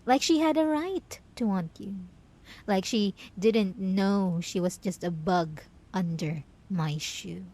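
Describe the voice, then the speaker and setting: A woman's voice: medium pitch, slow pace. A young woman performing an obsessive, possessive female killer locked in a cell, taunting in whispers and bursting into outbursts. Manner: taunting, slipping from soft whispers to sudden outbursts. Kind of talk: roleplay audio drama to the listener.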